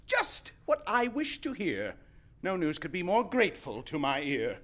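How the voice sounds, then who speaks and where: A man playing an indignant, gloomy misanthropic gentleman in a stage verse comedy, declaiming emphatically. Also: sits high, huge pitch swings, unhurried, heavily acted. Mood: proud, scornful. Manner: declamatory, emphatic, crisp rhyming delivery.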